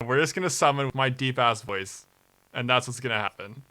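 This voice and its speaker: Bright-voiced man hosting a livestream. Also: mid-range voice, brisk, low-quality recording. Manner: flustered, laughing, troubleshooting audio aloud. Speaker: charity livestream host. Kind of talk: casual livestream chat.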